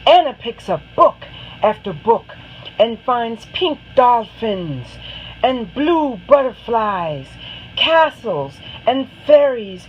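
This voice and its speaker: A woman narrates a picture book for children, taking her time over each phrase. Her pitch moves in lively, dramatic swings, and there is faint noise behind her. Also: highs cut off. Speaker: storybook reader. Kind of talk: expressive children's story read-aloud.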